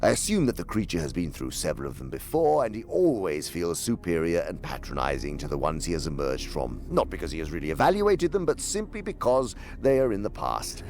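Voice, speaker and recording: Pompous demon boss, super low and gravelly, savours every word, audio drama. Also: male voice, big pitch swings, a little mellow, soft background music. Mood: smug, instructing. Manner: pompous, relishing, theatrical delivery with drawn-out words. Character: senior demon mentor, sly, pompous, savoring corruption.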